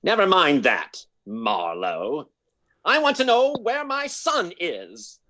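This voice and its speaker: An actor playing an agitated, accusing old rich man in a radio detective drama, halting and melodramatic. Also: fairly high pitch, wildly swooping pitch, bright, forceful tone, no echo, heavily acted. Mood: impatient. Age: older.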